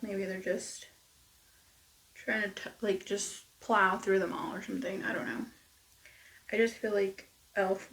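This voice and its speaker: A woman losing her voice, chatting casually and rambling through a makeup tutorial with soft near-whispered asides. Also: mid-range voice, echoey room, poor audio. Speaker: beauty vlogger. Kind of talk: casual get-ready-with-me makeup chat. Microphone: close mic at desk.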